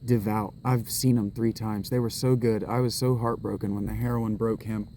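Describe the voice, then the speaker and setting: A man's voice: lowish voice, steady tone, normal speed, mellow, a little hiss or hum. A man talking to his camera on a walk in public, rambling and restarting his sentences as he muses aloud. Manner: rambling and restarting, drifting into musing. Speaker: vlogger. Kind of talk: rambling spoken vlog monologue. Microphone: camera held while walking.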